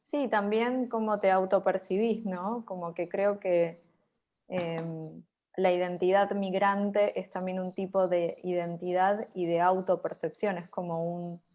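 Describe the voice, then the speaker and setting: A woman hosting a virtual conference, earnest and pausing often with fillers, over a video call. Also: lowish voice, fairly even intonation, darkish tone. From Argentina. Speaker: conference host.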